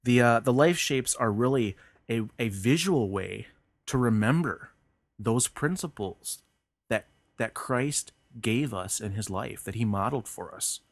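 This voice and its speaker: A man's voice: mid-range voice, lively intonation, unhurried, no room sound. A man hosting a podcast, talking earnestly and reflectively about faith, with frequent restarts and fillers. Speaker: podcast host.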